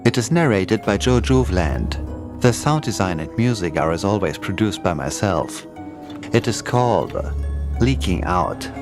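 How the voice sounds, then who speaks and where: A man's voice: deep voice, lively intonation, a little slow. A man hosting and introducing a podcast episode in a friendly, scripted announcing manner. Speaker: podcast producer and host. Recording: music bed under voice.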